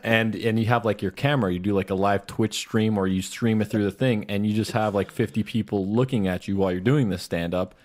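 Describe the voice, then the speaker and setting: A man's voice: on the low side, fairly even intonation, brisk, hardly any pauses, darkish tone. A man talking casually as a podcast co-host. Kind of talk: casual chat.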